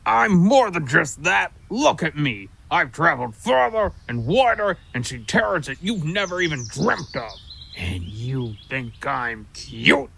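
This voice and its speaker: Casting: a proud, easily offended giant squirrel for audio drama, bright forceful delivery, unhurried, blustering. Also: male voice, fairly high pitch, big pitch swings, gravelly, a little hiss or hum, heavily acted. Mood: indignant, blustering. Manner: blustering, boastful storytelling. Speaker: voice actor. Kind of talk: audio drama character acting.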